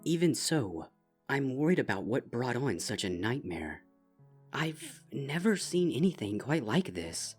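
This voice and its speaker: A man voicing a regal pony princess who speaks in a formal, measured and soothing way. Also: mid-range voice, sing-song, breathy edge, faint music behind, voice pushed up. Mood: concerned.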